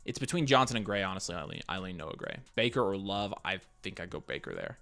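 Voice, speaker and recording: An American man hosting a live fantasy football Q&A, casually thinking aloud as he reads questions and gives quick picks. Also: sits low, expressive, bright, forceful tone. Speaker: fantasy football livestream host.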